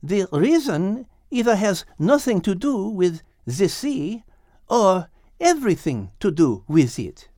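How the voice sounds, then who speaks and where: A man's voice: high voice, very animated, slow, a little mellow, no room sound, studio quality, voice pushed up, exaggerated voice. A man voicing a refined, knowledgeable female cat with a French accent and precise, formal wording, in an audiobook. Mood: composed, reasoning. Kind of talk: audiobook character acting.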